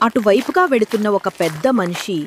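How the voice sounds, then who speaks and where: A woman's voice: mid-range voice, expressive, brisk, husky. A woman narrating a scripted moral story. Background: background music.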